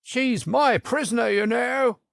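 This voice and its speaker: A man voicing a blustering, combative knight in a children's storybook, loud and declamatory. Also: extremely high pitch, measured pace, creaky, no room sound, clean studio sound, voice pushed up, full character voice. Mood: insistent.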